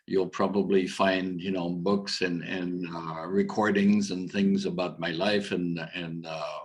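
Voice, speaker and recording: A middle-aged man with a very low, deep voice talks loosely over a video call. He wanders through his points with plenty of fillers and false starts. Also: little pitch movement, a little slow. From Algeria. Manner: rambling, many fillers and restarts. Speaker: coach and conference speaker. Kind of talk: casual conference talk.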